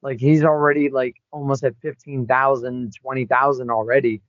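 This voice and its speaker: A man of about twenty co-hosting a podcast in casual chat, excitable and given to jumping into high shouts. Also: normal speed, dry recording. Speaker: podcast co-host. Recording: dull lo-fi sound, dry.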